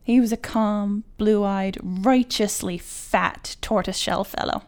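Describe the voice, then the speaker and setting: Clear, smooth woman reading a story. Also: mid-range voice, steady tone, slow. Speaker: guest writer reading her own fiction. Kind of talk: literary short story reading.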